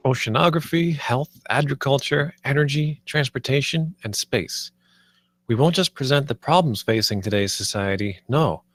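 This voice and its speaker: Earnest idealistic designer, read by a man, mid-pitched, speechlike, recorded dry, for a script read. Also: a bit bright, no room sound. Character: earnest, idealistic male theme park designer pitching his vision. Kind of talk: screenplay table read.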